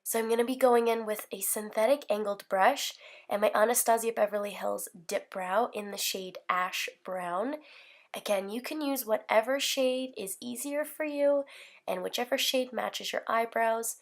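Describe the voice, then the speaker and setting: A woman's voice: on the high side, normal speed. A woman in her twenties with a slight cold, talking through a makeup tutorial in a casual, upbeat, rambling way. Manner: chatty, upbeat, rambling with fillers. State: hoarse from a cold. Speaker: beauty vlogger. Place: bedroom filming setup.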